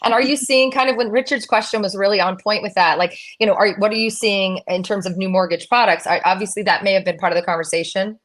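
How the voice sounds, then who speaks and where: A woman's voice: medium pitch, steady tone, quick pace, hardly any pauses, a bit bright. A woman hosting a live Q&A in a casual, chatty and playful way, with a promotional streak. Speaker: host of a live real estate Q&A.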